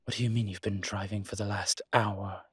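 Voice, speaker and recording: A weary male demon played by a man speaks in a low, very husky and breathy voice. He is guarded and dry, picking through halting phrases in an intimate audio roleplay, recorded close with no room sound. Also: steady tone, dry recording. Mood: bemused, weary.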